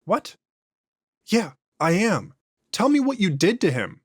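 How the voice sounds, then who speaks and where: Man playing an earnest interrogator, persistent, measured slow pace, dry close sound. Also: medium pitch, expressive, no room sound. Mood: alarmed. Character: earnest male interrogator at a secure facility.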